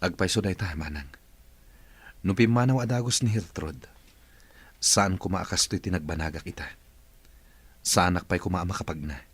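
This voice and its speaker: A man acting as a stern boss in an audio drama. His voice is very low and husky, and he talks in short, commanding phrases, calculating and firm. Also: normal speed, pauses often. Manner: curt, commanding. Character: stern, scheming male boss using a wheelchair.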